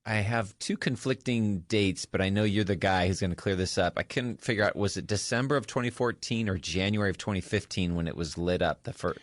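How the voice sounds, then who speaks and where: A man's voice: on the low side, fairly quick, few pauses, no room sound, clean studio sound. A man hosting a conversational interview, affirming his guest, recapping and prompting. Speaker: podcast host, interviewer.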